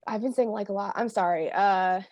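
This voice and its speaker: A woman's voice: medium pitch, a little fast, darkish tone, no room sound. Teen girl guest, chatty and filler-heavy, dry close sound. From United States. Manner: chatty, many fillers and laughs. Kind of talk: casual chat.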